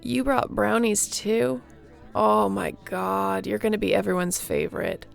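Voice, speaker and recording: Woman acting as young surfer girl, heavy vocal fry, mid-pitched, easygoing, friendly, casual roleplay chat, music underneath. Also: unhurried. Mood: cheerful, hospitable. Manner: laid-back surfer slang, chuckling, welcoming.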